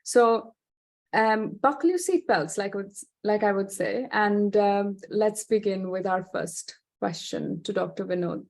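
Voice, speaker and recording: Woman host with a mid-pitched voice, chatty intro, lots of ums. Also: a little slow, vocal fry, darkish tone. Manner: chatty, frequent fillers. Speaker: podcast host. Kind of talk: interview introduction.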